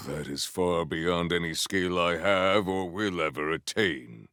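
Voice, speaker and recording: An actor playing a solemn, honor-bound warrior-monk in a video game, speaking in a formal, stately, theatrical way. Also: male voice, sits low, slow, pushed and bright, clean tone, no echo, clean studio sound, heavily acted. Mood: somber. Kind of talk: scripted video game dialogue.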